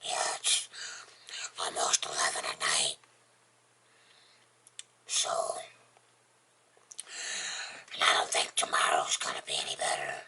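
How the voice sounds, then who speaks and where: A vlogger of unclear sex speaks in a very low, slow voice, rambling casually to the camera. The delivery is folksy and plainspoken, with a breathy, half-whispered gravelly rasp. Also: little pitch movement, pushed and bright.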